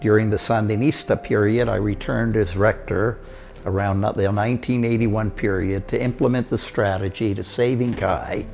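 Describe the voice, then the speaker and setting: An older man from Costa Rica, telling his life story in a measured, steady delivery. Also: on the low side, creaky, faint music behind. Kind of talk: prepared autobiographical narration.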